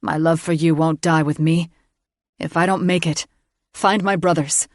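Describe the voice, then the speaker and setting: A woman voicing a devoted, protective young man who speaks in a low, steady, reassuring way. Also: moderate pace, no echo, clean studio sound. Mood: tender.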